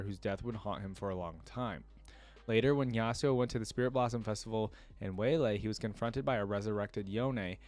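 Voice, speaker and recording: Low-voiced man, casual reaction host. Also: a little mellow. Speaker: reaction video host and streamer. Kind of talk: casual reaction commentary, reading lore aloud.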